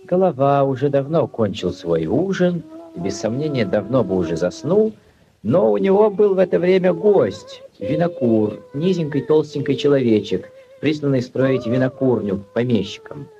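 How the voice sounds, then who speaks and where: An actor narrating a literary story for 1940s radio as a wry, measured and expressive storyteller. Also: male voice, mid-range voice. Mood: storytelling. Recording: muffled, noisy 1940s radio recording.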